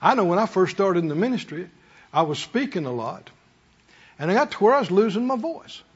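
High, husky preacher man. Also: sing-song, fairly quick. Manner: folksy, asks the congregation rhetorical questions. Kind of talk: conversational sermon with folksy stories. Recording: muffled hall sound with long reverb.

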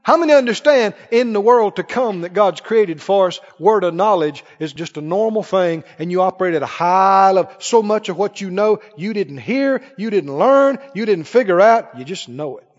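A man's voice: high voice, animated, normal speed, hardly any pauses. A man preaching in an emphatic, questioning way, teaching from the Bible to a congregation. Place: large church hall, before a congregation. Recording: muffled, echoing large hall.

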